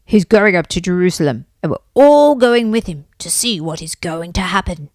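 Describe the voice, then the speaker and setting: Woman as a brusque, lively man in a crowd. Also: lowish voice, normal speed, no room sound, studio quality, pitched below the performer's own voice. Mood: informative. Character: brusque, self-assured man in a busy crowd.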